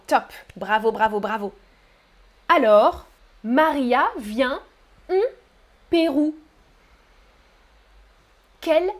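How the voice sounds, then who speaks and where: Slow, high-voiced woman teaching French. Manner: encouraging, repeats praise words; slow, careful articulation for learners. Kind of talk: live-streamed language lesson reading chat.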